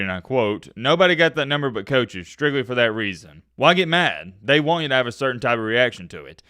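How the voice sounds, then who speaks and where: A man hosting a reaction video, swinging lively through his pitch with a bright, forceful and very clear voice. He is slangy and opinionated, talking casually to viewers. The sound is dry and close. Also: no room sound.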